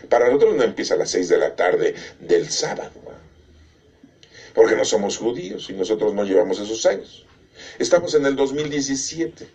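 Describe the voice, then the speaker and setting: A man's voice: medium pitch, a little mellow, muffled recording. A middle-aged man explaining religious teaching emphatically, asking rhetorical questions and checking in with his listeners. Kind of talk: catechism explanation.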